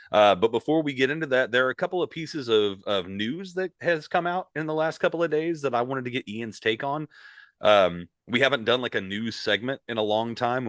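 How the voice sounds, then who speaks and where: Male podcast co-host, deep-ish voice, relaxed rambling chatter, dry booth sound. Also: no echo. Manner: loose, rambling, fillers. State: recovering from illness. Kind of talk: casual podcast chat.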